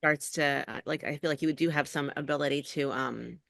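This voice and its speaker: A woman's voice: low voice, fairly even intonation, fairly quick, creaky, fairly bright tone, no room sound, clean studio sound. A middle-aged woman, an actor and acting coach, talking casually as an interview guest and rambling with lots of "like" and "you know".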